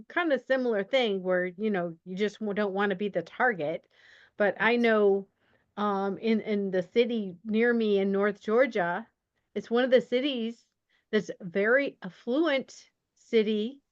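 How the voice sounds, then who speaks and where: A woman podcast host interviewing in a casual, conversational way, often halting as she searches for words. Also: medium pitch, no room sound. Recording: dry, slightly dull lo-fi audio.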